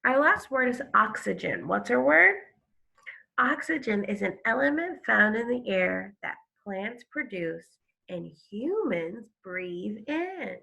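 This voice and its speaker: Female primary teacher, read-aloud: bright tone, unhurried, some creak, emphatic delivery. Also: on the high side, big pitch swings, low-quality recording. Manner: deliberate, emphatic, questioning. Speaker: second grade teacher. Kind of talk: instructional read-aloud for children.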